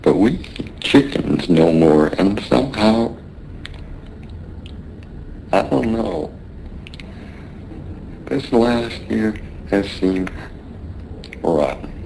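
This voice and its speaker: An actor playing a dying, wistful seasoned sailor in a radio drama, talking haltingly and wearily in a weak, gravelly voice. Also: male voice, animated, dark tone, full character voice. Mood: weary, confiding. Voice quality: gravelly, creaky. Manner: halting, weary, drifting. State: weak, failing. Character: dying, wistful seasoned American sailor reminiscing to his mate. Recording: noisy radio drama with rumbling ambience.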